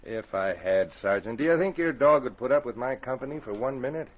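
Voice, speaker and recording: A man's voice: mid-range voice, animated, fairly quick, lightly acted. An actor in a 1940s radio drama playing a frank, resigned accused man, plain-spoken and wry. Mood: frank, insistent. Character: frank, resigned accused robber protesting his innocence. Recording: muffled 1940s radio recording.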